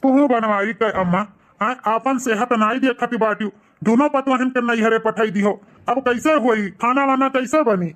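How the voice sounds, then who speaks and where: A man's voice: very high voice, normal speed, fairly bright tone. A man voicing a grumbling but concerned old village husband in a cartoon comedy, scolding and questioning, with an eastern Uttar Pradesh accent. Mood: worried. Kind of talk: cartoon comedy dialogue in rural dialect.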